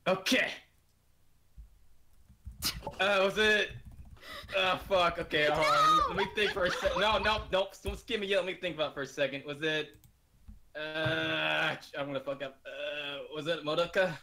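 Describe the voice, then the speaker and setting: Low-voiced woman in casual game banter. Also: moderate pace, slight background noise. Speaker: quiz contestant. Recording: loud music under the voice. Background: loud music.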